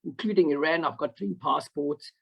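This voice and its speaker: A South African man speaks over a dull, lo-fi video call line. His fairly high voice is gravelly and husky, and he wanders through his point with many fillers and restarts. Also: normal speed, a bit bright. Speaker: audience member asking a question. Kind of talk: online Q&A comment.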